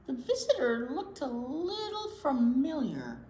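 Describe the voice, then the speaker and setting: A woman's voice: high voice, huge pitch swings, unhurried, darkish tone. A woman reading a picture book aloud as a children's storytime host, playfully exclaiming and commenting on the pictures as she goes. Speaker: children's librarian, storytime reader. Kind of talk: animated picture-book read-aloud with chatty asides. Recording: roomy echo with some background noise.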